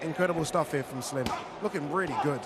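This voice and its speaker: A man hosting a live boxing event, chatty and excitable as he hypes up the fighters and interviews them. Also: fairly high pitch, fast, very noisy. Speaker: event host. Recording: music playing loudly behind.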